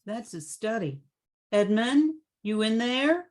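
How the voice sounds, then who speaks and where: Brisk female literary agent woman acting, high voice, animated swings, practical, bossy, radio play. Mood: concerned.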